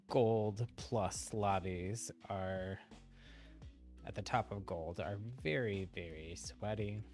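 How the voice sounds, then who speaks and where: A man hosting a livestream, chatting casually to viewers in a rambling, giggly way. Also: on the low side. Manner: rambling, frequent giggles mid-sentence. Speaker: live streamer and channel host.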